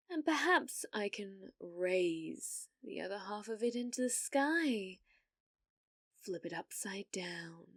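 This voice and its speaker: Woman acting a slow, cruel British villainess. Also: on the low side, dry recording, clean studio sound. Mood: gloating menace. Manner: taunting, theatrical, slipping into breathy whispers. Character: cruel, domineering female villainess sorceress, gloating and possessive. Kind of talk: ASMR fantasy roleplay, dramatic monologue.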